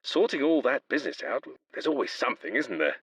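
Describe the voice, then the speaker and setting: An actor playing a polite, wordy railway official in an audio drama, apologetic and eager to please. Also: male voice, medium pitch, very animated, a little fast, a bit bright, husky, no echo, rough recording. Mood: chatty, pleased.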